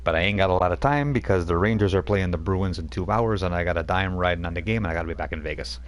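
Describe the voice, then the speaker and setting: A man voicing a brash New York wise guy who calls himself a shaman, with a Brooklyn accent and a pushy, hurried street manner. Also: low voice, natural voice.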